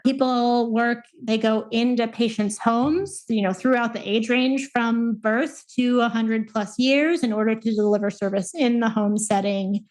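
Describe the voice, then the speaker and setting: A woman in her thirties explains her field in a relaxed interview, pausing on fillers and restarting her phrases. Her voice sits a little higher than most. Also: poor audio. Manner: thoughtful, with many fillers and restarts. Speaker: guest, speech pathologist and researcher. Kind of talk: conversational interview answers.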